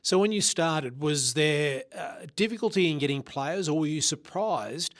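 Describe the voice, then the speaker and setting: A man hosting a podcast, asking relaxed, conversational questions. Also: on the high side, moderate pace. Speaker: podcast host. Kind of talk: podcast interview.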